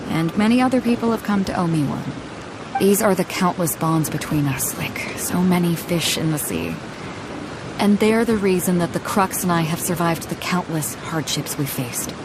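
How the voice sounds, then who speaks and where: A woman's voice: lowish voice, lively intonation, moderate pace, background noise, lightly acted. A woman voicing a bold, hearty ship captain. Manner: confident, laid-back, laughs easily. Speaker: game character. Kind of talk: game cutscene voice acting. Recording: background game music under the voice.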